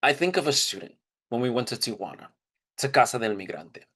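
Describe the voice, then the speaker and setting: A man's voice: medium pitch, very animated, a little fast, a bit bright, breathy edge. A man delivering an informal, reflective first-person monologue in a conversational storytelling style. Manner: informal, reflective, acts out quoted dialogue. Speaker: performer of a dramatized first-person narrative.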